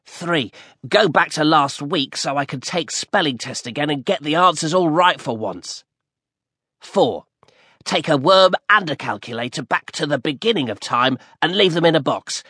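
A man voicing an earnest, excitable ten-year-old boy who is the best friend. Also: a little high, slightly rough, no echo, studio quality, heavily acted.

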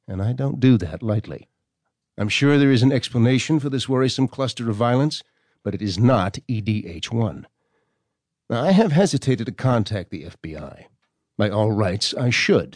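An actor playing a stern, formal government doctor reading out his correspondence in a measured, condescending way for an audio drama. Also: medium pitch, darkish tone, no echo, studio quality, natural voice. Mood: measured, warning.

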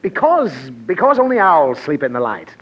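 A man's voice: a little high, huge pitch swings, moderate pace. An actor playing an exasperated father who blusters and lectures his child, in 1940s radio comedy acting. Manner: blustering, lecturing, easily flustered. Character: exasperated middle-aged father. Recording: dull old radio broadcast, faint hiss.

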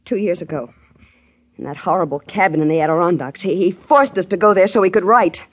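A woman's voice: on the low side, brisk, husky. An actress playing a cool, bitter divorced mother in a 1950s radio drama, with a genteel, cutting manner.

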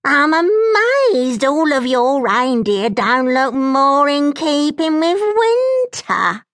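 A woman voicing a spiteful witch who talks slowly and sneers indignantly. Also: high voice, sing-song, a bit bright, no echo, clean studio sound, pitched above the performer's own voice, exaggerated voice. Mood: sneering. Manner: slow, drawn-out, indignant and sneering.

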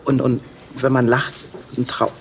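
An actor playing an awkward, squeamish young TV reporter who stammers through radio play dialogue. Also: male voice, mid-range voice, little pitch movement, unhurried, slight background noise, natural voice. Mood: flustered.

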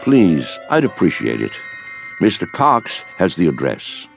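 Lively, gentle old gentleman. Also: low-pitched, a little slow, creaky, soft background music, heavily acted. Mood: cheerful, courteous. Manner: courtly, mild, well-spoken. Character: gentle, hopeful elderly man in a rest home. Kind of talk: radio drama acting.

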